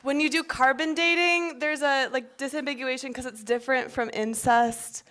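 A woman's voice: high voice, steady tone, normal speed, fairly bright tone, slight background noise. A woman giving a playful, amused talk.

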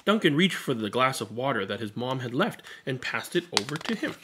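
A man in his thirties doing an expressive picture-book read-aloud with casual commentary. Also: medium pitch, fairly quick, background noise. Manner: expressive, animated storytelling. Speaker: read-aloud storyteller and host. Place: small indoor room at home.

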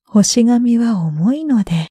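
A woman narrating bedtime stories for sleep in a gentle, drawn-out way with breathy phrase endings. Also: a little high, lively intonation, no room sound. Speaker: storyteller, freelance announcer.

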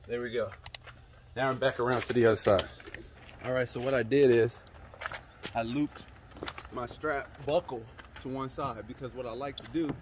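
A young man talks viewers through a how-to in a relaxed, instructive way, speaking straight to them at an everyday mid pitch. He is outdoors in a suburban driveway, picked up by a camera mic that drifts nearer and farther, with a faint hiss of outdoor noise behind him. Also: moderate pace, pauses often. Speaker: fishing channel host. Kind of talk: casual how-to demonstration. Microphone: camera mic, moving toward and away.

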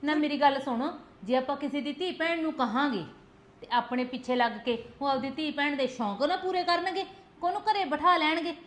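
A woman's voice: high-pitched, brisk, fairly bright tone. A woman playing a fierce sister-in-law. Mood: fierce urging. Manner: scolding, insistent, repeats demands for attention. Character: firm protective sister-in-law, blunt and fierce. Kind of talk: village family drama acting.

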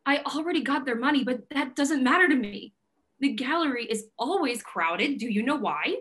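Woman acting high-voiced, bossy gallery owner. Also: lively intonation, normal speed, fairly bright tone. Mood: proud, firm. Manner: assertive, emphatic, declarative. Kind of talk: virtual staged play reading. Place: plain indoor room, video call.

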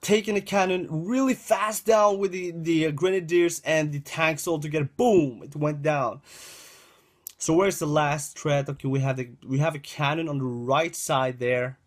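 A young man talks through his gameplay in a slightly higher-than-usual voice, rambling and repeating his phrases as he speaks straight to viewers. His throat sounds dry. He is recorded indoors on a home webcam setup. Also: normal speed. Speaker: gaming channel host. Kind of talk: casual gameplay commentary.

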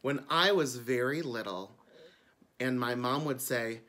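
A man's voice: mid-range voice, a little slow, sharp tone. A man, a drag queen, reading a picture book aloud expressively for children, with chatty asides. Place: indoors before a curtain.

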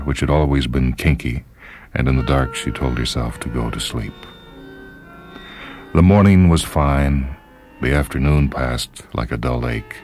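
Very deep-voiced man reading a story. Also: darkish tone. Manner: measured, dramatic storytelling. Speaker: short story reader and radio host. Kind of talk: literary scripted narration. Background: background music.

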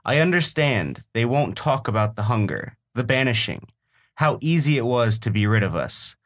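A man with a slight sniffle, chatting and then reading a poem in a calm, measured way. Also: mid-range voice. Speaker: panel member reading a poem. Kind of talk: casual chat and poetry reading.

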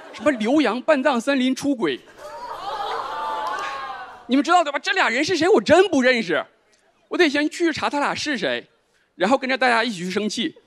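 A high-voiced man rattles through a stand-up set at a fast clip, his pitch leaping up and down. His punchlines land deadpan. Clear noise runs under his stage mic. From Northeast China. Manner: quick, animated deadpan punchlines. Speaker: stand-up comedian.

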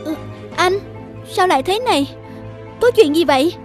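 A devoted young wife in a cartoon drama. Her voice is high, with an earnest, polite and hopeful delivery. Music plays underneath. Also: animated, normal speed. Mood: alarmed. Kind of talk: animated moral drama voice acting.

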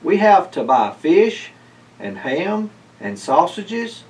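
A man's voice: high voice, very animated, slow, darkish tone, distant mic, voice pushed up, heavily acted. A man voicing an eager, playful, cheeky little cat in a children's storybook read-aloud.

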